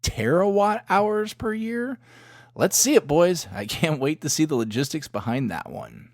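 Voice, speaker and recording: A man's voice: fairly high pitch, lively intonation, measured pace, dry recording, studio quality. A man hosting a podcast, delivering scripted narration that links recap segments. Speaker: podcast host.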